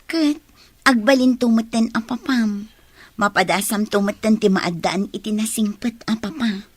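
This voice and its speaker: A woman's voice: medium pitch, unhurried, breathy edge, dry recording. A woman playing an earnest young daughter who pleads with her family, in audio drama dialogue. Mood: persuading.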